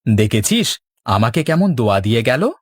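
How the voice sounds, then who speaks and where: A man plays a cheeky young village husband in a cartoon. His pitch is very lively and the sound is dry. He argues and teases like a born squabbler. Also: normal speed, slightly rough, no room sound. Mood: bickering. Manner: teasing, argumentative. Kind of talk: cartoon dialogue.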